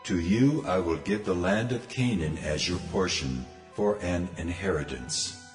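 God, deep and booming. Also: male voice, unhurried, faint music behind. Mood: solemn. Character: God speaking, deep solemn male voice. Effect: long reverb.

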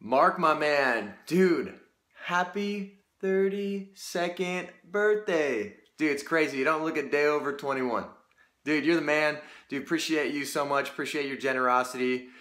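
A man's voice: fairly high pitch, a little slow, fairly bright tone. A man in his thirties, effusive and casual, recording a birthday message on a phone in a small room. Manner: effusive, keeps saying dude. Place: small indoor room with bare walls. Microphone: phone camera close up. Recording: echoey bare room.

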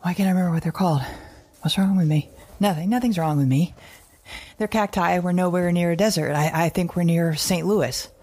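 A woman's voice: fairly low pitch, fairly quick, darkish tone, husky. An actress playing an anxious but defiant mother surviving a wild world in an audio drama monologue, fretful and questioning herself in broken phrases. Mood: agitated, anxious. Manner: fretful, self-questioning, fragmented, repeating herself. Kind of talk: audio drama monologue, recorded-journal narration.

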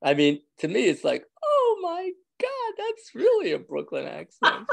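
A man's voice: very high voice, wildly swooping pitch, a little slow, creaky. An older man with a New York accent, a rabbi chatting and joking with his class on a video call, rambling and laughing often. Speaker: rabbi teaching an evening class. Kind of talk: casual chat with class members. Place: indoors at home. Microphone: video call from a phone or webcam.

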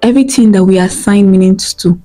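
A woman's voice: mid-range voice, brisk, mellow, faint music behind. A young Nigerian woman in her twenties with an Igbo accent, giving an earnest motivational talk to camera on a lapel mic, repeating her points and checking in with "okay?". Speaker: vlogger. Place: indoor room at home.

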